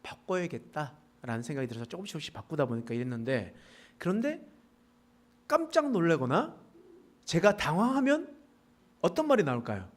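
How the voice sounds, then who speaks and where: Animated, folksy Korean pastor with a regional lilt. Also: male voice, moderate pace, long pauses. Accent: Gyeongsang dialect traces. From South Korea, Pohang area. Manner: folksy, joking asides with congregation, animated pitch swings. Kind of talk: sermon. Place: church sanctuary.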